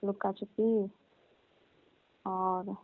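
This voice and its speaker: A woman's voice: mid-range voice, little pitch movement, extremely slow, dry recording. A young woman playing a coy, teasing female cousin in a casual, colloquial phone chat. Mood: coy reminiscing. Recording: thin narrow-band phone line.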